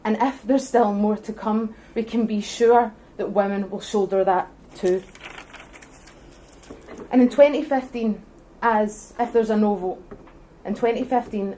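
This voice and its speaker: A young Scottish woman giving an earnest, forceful speech at a public debate, building her case point by point. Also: fairly high pitch. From Scotland. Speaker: debate speaker for independence.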